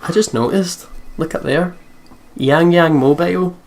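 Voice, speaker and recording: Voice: medium pitch, unhurried, a little mellow, slight background noise. A let's play host giving casual, chatty commentary on a visual novel.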